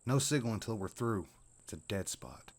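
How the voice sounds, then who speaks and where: A man voicing a frightened, winded male jogger around forty with a halting, pleading manner in a horror story. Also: fairly low pitch, animated, pitched above the performer's own voice. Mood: uneasy, grateful.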